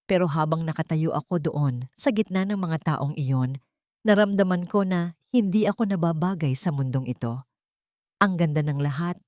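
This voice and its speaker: A woman's voice: fairly low pitch, a little mellow, dry recording, studio quality, close to an everyday voice. Woman voicing a sorrowful, humble young Filipino maiden.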